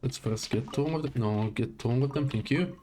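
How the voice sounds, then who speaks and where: A man's voice: medium pitch, normal speed, dark tone, a little hiss or hum. A man giving casual live gameplay commentary, muttering to himself in short fragments. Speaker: game livestreamer.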